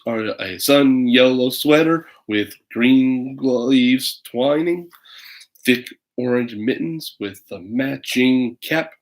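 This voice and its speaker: Casting: man in his thirties, casual livestream storybook reader for kids, mid-pitched voice, hesitant and playful. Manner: rambling, hesitant, playful asides. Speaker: parent reading a picture book for children online. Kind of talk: casual livestream chat and children's storybook read-aloud.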